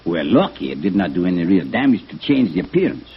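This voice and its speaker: Low, very husky violin expert. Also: male voice, big pitch swings, fast talker, heavily acted. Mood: careful, explaining. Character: careful, proud foreign male violin expert. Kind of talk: 1950s radio drama acting. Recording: muffled vintage radio recording.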